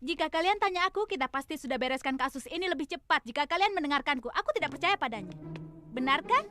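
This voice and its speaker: A boastful, competitive young cartoon woman, smug and high-voiced. Music plays clearly behind her. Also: expressive, quick pace, sharp tone. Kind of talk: animated cartoon dub acting.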